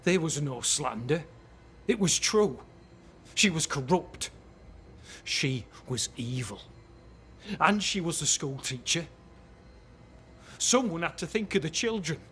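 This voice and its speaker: A man's voice: on the high side, animated, slow, long pauses, a bit bright, a little hiss or hum. A man playing a proud, self-righteous military captain in a game cutscene, with a solemn, theatrical delivery. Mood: vehement. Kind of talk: dramatic game cutscene acting.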